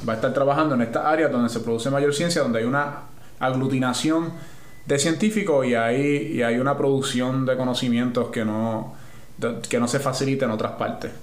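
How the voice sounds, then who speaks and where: A man's voice: medium pitch, steady tone, moderate pace, reverberant. A man with a Puerto Rican accent, a scientist answering interview questions, thinking aloud and rephrasing as he explains. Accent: Puerto Rican Spanish. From Puerto Rico. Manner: thoughtful, restarts and rephrases while explaining. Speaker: scientist guest.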